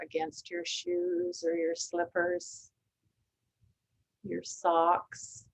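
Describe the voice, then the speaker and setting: A woman leading a guided body scan meditation, speaking gently and calmly with long, unhurried pauses. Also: mid-range voice, rough recording. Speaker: meditation teacher.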